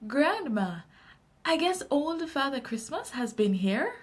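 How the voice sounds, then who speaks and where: A woman with a Jamaican accent voicing an excited, delighted little girl in a children's story, eager and exclaiming. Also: high voice, slow, exaggerated voice. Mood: curious.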